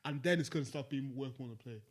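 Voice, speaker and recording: A man's voice: mid-range voice, quick pace. Blunt young man in his twenties. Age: 20s. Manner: blunt, sweary, advice-giving. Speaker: podcast co-host. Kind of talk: casual podcast chat.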